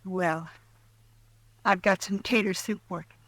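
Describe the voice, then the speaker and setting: An actress playing a weary, guarded homesteader wife in an old radio drama, answering in short, curt lines. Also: female voice, medium pitch, measured pace, slight background noise, natural voice, poor audio. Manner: curt, flat, guarded replies. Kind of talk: 1950s radio drama acting.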